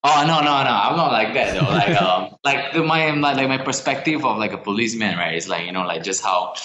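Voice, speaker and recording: A man's voice: fairly low pitch, a little fast, dull audio, slight background noise. A young man from Singapore, a musician answering interview questions casually, with lots of "you know" and "man". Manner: relaxed, full of fillers like "you know" and "man". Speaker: musician guest.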